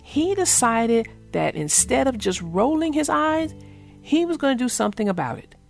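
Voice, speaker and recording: A woman reading a children's storybook aloud as narrator, in an expressive storytelling manner. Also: high-pitched, moderate pace, vocal fry, faint music behind. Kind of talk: scripted storybook read-aloud.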